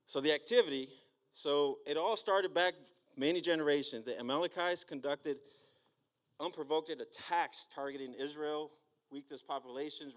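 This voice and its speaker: Casual man giving a Bible talk. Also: a little high. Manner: casual, checks in with "right?" and "okay?". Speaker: church speaker.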